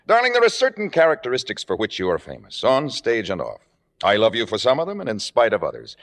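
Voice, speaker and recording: A man's voice: medium pitch, wildly swooping pitch, fast talker, fairly bright tone, big-room sound. An actor playing a forthright, exasperated male lover with an emphatic, articulate delivery in a vintage radio drama. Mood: angry, exasperated. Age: 30s. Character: exasperated, forthright man scolding his lover. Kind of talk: 1940s-50s radio acting.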